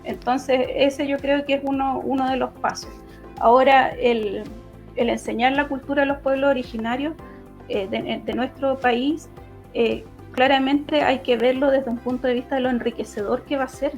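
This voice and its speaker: A woman with a Chilean accent giving explanatory answers in an online debate, halting and often restarting her phrases. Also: high voice, fairly even intonation. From Los Ríos region, southern Chile. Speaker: political candidate and law lecturer. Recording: dull lo-fi online audio, music behind.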